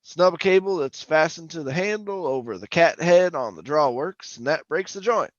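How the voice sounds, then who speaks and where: A man's voice: fairly high pitch, expressive, normal speed, no room sound, muffled recording, close to an everyday voice. An actor playing a folksy, talkative oil-rig worker who tells his eerie tale in colloquial radio horror drama style. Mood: folksy narrating. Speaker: actor and narrator. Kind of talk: old-time radio horror drama acting and first-person narration.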